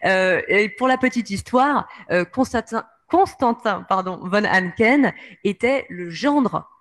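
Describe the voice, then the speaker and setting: A woman's voice: mid-range voice, lively intonation, a little slow. A woman with a French accent giving a history lecture from slides, hesitating often with fillers. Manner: many hesitations, frequent "euh".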